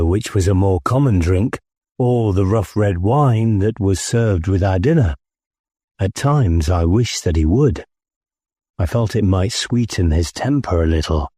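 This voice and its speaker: A man's voice: fairly low pitch, warm tone, breathy edge, no echo, studio quality. A man reading scripted first-person story narration as an audiobook narrator.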